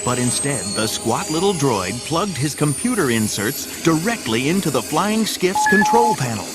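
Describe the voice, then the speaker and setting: A man's voice: a little high, sing-song, moderate pace. A man narrating a scripted adventure drama in a theatrical, suspenseful way, with music behind him.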